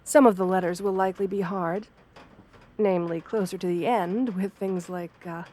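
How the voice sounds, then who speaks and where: Woman acting as wolf girl therapist, soft-spoken, mid-pitched, kind, patient, encouraging, ASMR roleplay. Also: fairly even intonation, slight background noise, close to an everyday voice. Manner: gentle, reassuring, measured counselling talk.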